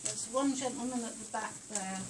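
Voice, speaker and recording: A woman chairing a public meeting, moving things along briskly with polite interjections. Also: fairly high pitch, background noise. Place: council meeting hall. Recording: distant, with a crowd around.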